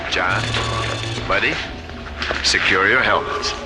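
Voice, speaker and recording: Wise male alien leader for radio sci-fi. Low, solemn, ceremonial delivery in long reverb over music. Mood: calm command. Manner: measured, ceremonial, commanding. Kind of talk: old-time radio science fiction acting.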